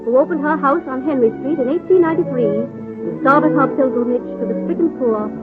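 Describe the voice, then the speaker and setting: A woman's voice: high voice, a little fast. A woman narrating a 1930s radio program in a formal, declamatory style. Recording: tinny 1930s radio recording. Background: music.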